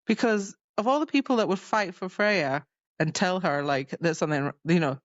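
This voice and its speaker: A woman's voice: lowish voice, normal speed, no room sound, dull audio. A woman co-hosting a podcast, chatting casually and retelling a story by acting out the dialogue. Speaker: podcast co-host. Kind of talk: casual chat recapping a fantasy novel.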